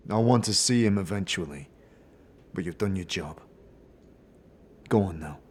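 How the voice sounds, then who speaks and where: A man plays a battle-scarred older hulk of a father in a fantasy audio drama. He is gruff and weary, with a low, quiet, brittle delivery that halts between phrases. Some noise sits behind the voice. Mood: gruff, resigned. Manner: subdued, brittle, halting.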